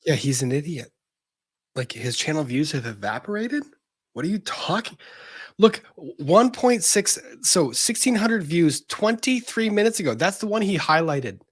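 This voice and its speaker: A man's voice: a little high, big pitch swings, a little slow, husky. A man hosting casual legal commentary in a blunt, exasperated, sometimes profane way.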